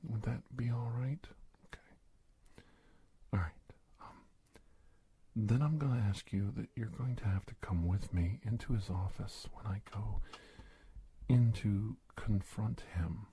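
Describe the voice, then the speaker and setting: A man playing a calm, reassuring government agent in a soft-spoken ASMR roleplay, breathy and gravelly, hesitant and gentle, often dropping toward a whisper. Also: on the low side, a little slow, long pauses, heavily acted. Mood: gently persuasive.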